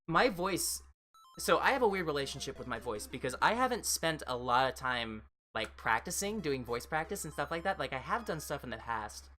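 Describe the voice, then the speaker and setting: A woman with an American accent and a fairly deep voice, chatting casually as a livestream host and replying to viewers in a rambling, reassuring way. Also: big pitch swings, normal speed, a bit bright. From United States.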